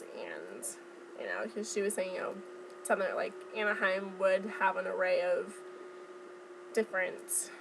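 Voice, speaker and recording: A young woman talks in a casual vlog style. Her voice sits at an average pitch for a woman. She speaks informally, pausing and picking her way as she recalls details, over noticeable background noise. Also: a bit bright, rough recording. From Southern California. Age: young adult. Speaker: vlogger. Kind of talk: casual chat vlog, recounting from memory.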